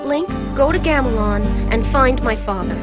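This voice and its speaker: A woman's voice: sits high, animated, normal speed. A woman voicing an earnest, bossy young princess with theatrical game cutscene delivery. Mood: commanding. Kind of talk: melodramatic video game cutscene acting. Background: loud music.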